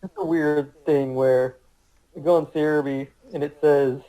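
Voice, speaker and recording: Mellow-voiced man in casual chat. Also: a little high, steady tone, measured pace, low-quality recording.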